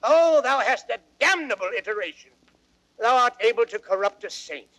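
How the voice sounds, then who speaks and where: A high-pitched, theatrical fat old rogue. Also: male voice, animated, fairly bright tone, full character voice, rough recording. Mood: amused, teasing. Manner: theatrical, drawn-out exclamations with chuckles. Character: fat old rogue knight, boozy, jovial thief. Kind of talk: old radio-style Shakespearean acting.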